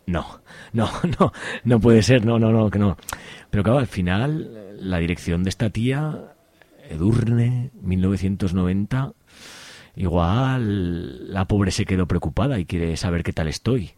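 A man's voice: fairly low pitch, lively intonation, a little slow, dark tone, no room sound. A man with an accent from Spain playing a young, easygoing activist in an amateur radio serial, colloquial and hesitant. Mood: musing. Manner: colloquial, hesitant, repeats words. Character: young male activist, easygoing, dismisses worries, curious.

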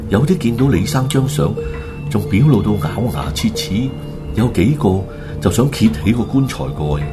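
A man tells a horror radio drama as narrator. His low voice moves with lively swings, colloquial and dramatic, while loud music plays underneath. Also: moderate pace. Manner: animated, colloquial.

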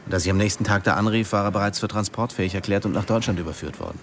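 Low, sober man acting as weary narrator. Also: very fast, slight background noise, lightly acted. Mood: matter-of-fact. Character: sombre, weary male diving instructor telling his story. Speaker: first-person narrator. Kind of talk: radio drama narration and dialogue.

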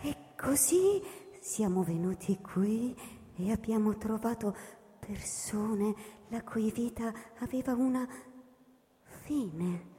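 An eerie immortal alien in a radio play. The voice is high and mellow, speaking very deliberately and formally, with slow insistence in a reverberant hall. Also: female voice, lively intonation. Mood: solemn wonder. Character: immortal alien explaining its race, patient and eerie. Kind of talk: theatrical radio drama recitation.

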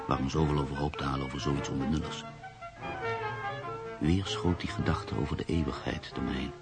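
An actor playing a reflective, skeptical journalist in a radio play, telling his own story in a measured, literary manner. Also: extremely low pitch, vocal fry. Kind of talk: radio play, first-person narration and dialogue.

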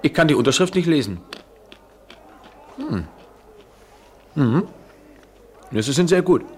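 An actor playing a restless, wry man travelling abroad, measured and poetic, in a noisy 1970s radio play recording. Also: a little high, lively intonation, darkish tone, breathy edge, full character voice. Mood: musing. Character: restless, wry European traveller, aloof yet attracted man.

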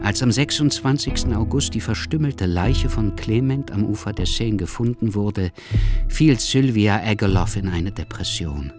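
Low-voiced male narrator over music. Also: moderate pace. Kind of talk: scripted radio drama narration.

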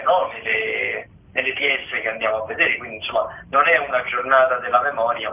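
A man of medium pitch delivers theatre commentary as a radio critic. He talks discursively, halting through long winding sentences. The sound is narrow and tinny, like a telephone call. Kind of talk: spoken theatre listings and commentary. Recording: narrow tinny phone line.

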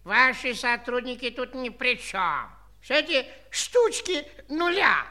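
A bossy, blunt female elder in a children's audio play. She talks slowly and emphatically, with big swoops in a bright voice. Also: high voice, very animated, exaggerated voice. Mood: scornful.

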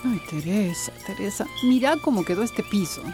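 An actress playing a gentle, affectionate wife with a Central American accent, in a radio soap opera. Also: female voice, mid-range voice, expressive, normal speed, music in the background, lightly acted. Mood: uneasy.

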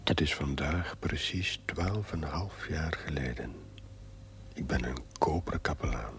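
An actor playing a reflective, modest middle-aged chaplain who murmurs his wistful diary in a measured television drama voice-over. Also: extremely low pitch, vocal fry, fairly bright tone, exaggerated voice. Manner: measured, musing. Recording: faintly noisy 1970s television soundtrack.

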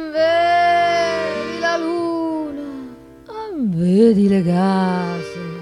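A woman with a Roman accent singing theatrical folk songs. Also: high-pitched. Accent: Roman Italian. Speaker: singer. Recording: live guitar and accordion behind voice. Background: loud acoustic guitar and accordion.

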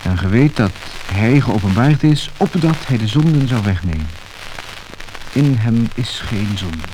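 Male religious reader, very measured solemn pace, old lacquer record with clear hiss and crackle. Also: fairly low pitch, lively intonation, a little mellow. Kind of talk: solemn scripture reading. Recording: noisy mid-century lacquer disc recording.

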